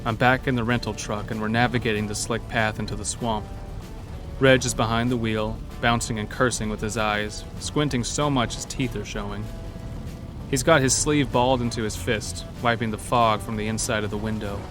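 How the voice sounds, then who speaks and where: A male actor's fearful, wry logger narrates a horror audio drama in the first person. His voice sits low, with quiet music underneath, and he tells it like a confession. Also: fairly even intonation, moderate pace, close to an everyday voice. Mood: uneasy recollection. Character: hunted logger, wry, fearful, self-blaming man. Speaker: narrator and protagonist.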